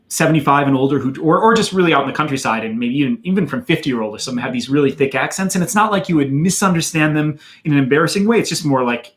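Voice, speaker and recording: A man in his thirties from Wisconsin with an American accent, chatting casually as he answers viewer questions on his livestream. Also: on the high side. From Madison, Wisconsin, USA. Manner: chatty and loose, many fillers, reads out viewer questions. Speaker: channel host answering chat questions.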